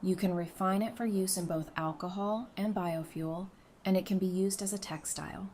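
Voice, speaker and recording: A woman's voice: medium pitch, steady tone, normal speed, darkish tone, natural voice. A woman playing a friendly, knowledgeable member of a survivor community in an audio drama, speaking in an easygoing, explanatory way. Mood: informative.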